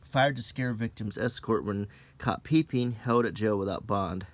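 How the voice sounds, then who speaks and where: A man reading an old printed text aloud in an ASMR style, unhurried and low-key, with casual offhand asides.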